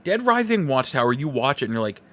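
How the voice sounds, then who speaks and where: A man hosting a podcast, giving casual solo opinions in halting, broken phrases with emphatic stresses. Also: mid-range voice, sing-song. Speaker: podcast host.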